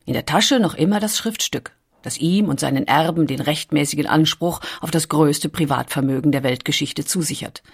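A woman reading scripted documentary narration in a measured, deliberate storytelling manner with weighty pauses. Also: low voice, creaky, slightly rough.

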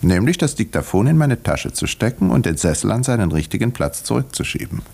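An actor playing an urbane, cynical doctor and self-satisfied murderer, speaking with smug, reflective irony in a 1950s German radio drama. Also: male voice, fairly low pitch, sing-song, fairly quick, creaky, a little mellow, breathy edge, full character voice.

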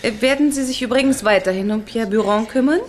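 An actress playing a sharp-witted, outspoken professional woman in a radio crime drama, articulate and pointed. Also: fairly high pitch, quick pace, natural voice. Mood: teasing, probing. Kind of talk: German radio drama acting.

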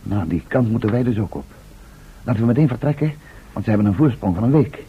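An actor in a radio drama playing a worried, earnest man searching for lost friends, with a husky voice. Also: fairly low pitch, expressive, fast talker, heavily acted. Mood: earnest, urgent. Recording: muffled, phone-like old radio recording.

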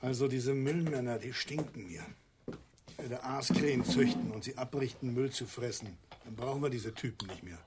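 Male actor as pompous schemer, medium pitch, grumbling, grand pronouncements, comic radio play, noisy background. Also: normal speed, close to an everyday voice. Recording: clear background noise.

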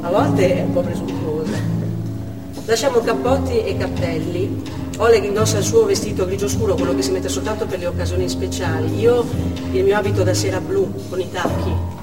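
A woman's voice: mid-range voice, normal speed, lightly acted. An actress playing a contented, observant Russian wife and mother, narrating her evening in a stage monologue. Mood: reflective. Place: stage in a theatre hall. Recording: echoey hall from far off, loud music.

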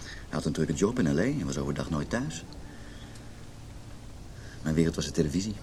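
A man's voice: very deep voice, expressive, fairly quick, dark tone. An actor in a Dutch radio drama playing a brooding, wounded, intense man in a failing marriage. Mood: bitter, reflective. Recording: noisy radio play recording.

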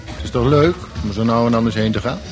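A man's voice: mid-range voice, quick pace, close to an everyday voice. A man acting a jovial, coaxing man about town in a radio drama. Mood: chummy, coaxing. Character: chummy, persuasive man about town, nightclub regular. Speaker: actor in a radio play. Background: music.

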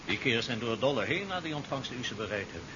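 An actor playing a measured, deferential ship's doctor in a 1970s science fiction radio play. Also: male voice, medium pitch, big pitch swings, natural voice. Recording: dull, noisy 1970s radio drama recording.

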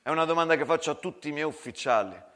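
A man playing a smug army major in a theatrical war story, speaking formally and condescendingly, with measured menace. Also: fairly high pitch, lively intonation, fairly bright tone, long reverb tail. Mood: controlled menace.

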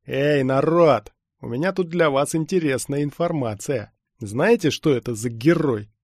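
A man's voice: fairly high pitch, normal speed, dry recording, studio quality, close to an everyday voice. A man voicing a huge, bullying cyborg assault trooper in an audiobook, with a growling, sneering, threatening delivery. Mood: rousing, accusing. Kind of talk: audiobook character acting.

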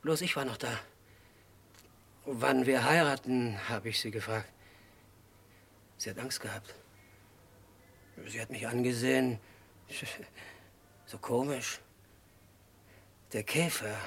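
A crazy childlike murderer in an old radio krimi, husky voice, choppy confession, unstable. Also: male voice, medium pitch, heavily acted. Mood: quiet, haunted. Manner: halting, disjointed short sentences, swinging from hushed to agitated outbursts. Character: unhinged, childlike male murderer confessing to his crime. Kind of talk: mid-century German radio crime drama acting.

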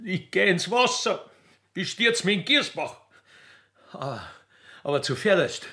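A man's voice: high voice, lively intonation, measured pace, pushed and bright, pitched above the performer's own voice, full character voice. A man playing a furious, threatening country poacher in a comic radio play. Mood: bitter rage.

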